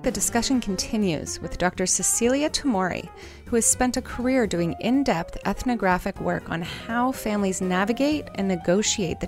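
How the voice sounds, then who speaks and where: A woman reading the scripted introduction to a podcast episode at a measured pace. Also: mid-range voice. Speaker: podcast host.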